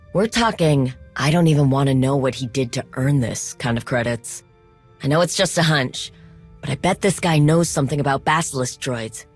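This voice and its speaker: A woman voicing a proud, practical, guarded warrior mechanic in a video game cutscene. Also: low voice. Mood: matter-of-fact.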